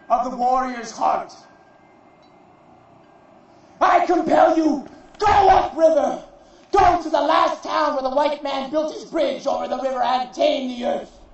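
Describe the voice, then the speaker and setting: Actor playing a proud warrior, high-pitched and echoing. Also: male voice, vocal fry, heavily acted. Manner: solemn, declamatory, deliberate phrases rising to shouts. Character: proud, defiant warrior man of mixed ancestry, preaching. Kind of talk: theatrical dramatic monologue. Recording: distant, very echoey, muffled recording.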